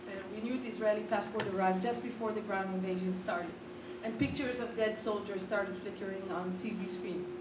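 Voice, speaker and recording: Woman moderator, earnest prepared speech, slightly high voice, distant mic in an echoey hall. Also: background noise. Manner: earnest, read from notes. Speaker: event moderator. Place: lecture hall.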